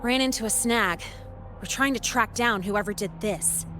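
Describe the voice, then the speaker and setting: A woman playing a composed, thoughtful young investigator in a video game, calm and pondering. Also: fairly high pitch, moderate pace, lightly acted. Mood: pensive. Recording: music under the voice.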